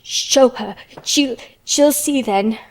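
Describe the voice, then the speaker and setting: A woman's voice: fairly high pitch, slow pace, low-quality recording. A woman voicing a frightened, hysterical sickly young boy in a storybook, gasping and choking out his words. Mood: sobbing. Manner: gasping, choked, sobbing.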